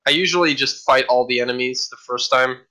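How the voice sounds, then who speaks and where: A man's voice: mid-range voice, fairly quick, sharp tone, low-quality recording. A man co-hosting a casual podcast about games, rambling with frequent restarts and casual swearing. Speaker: podcast co-host. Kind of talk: casual chat.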